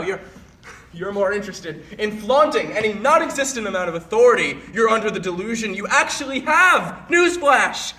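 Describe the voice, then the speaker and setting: A young man on stage playing a bitter, reproachful college student who confronts a former friend in an accusatory monologue with deliberate pauses. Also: mid-range voice, fairly bright tone, natural voice. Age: young adult. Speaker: stage actor. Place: indoor performance space, picked up from far off. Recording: roomy, distant live stage recording, faint noise.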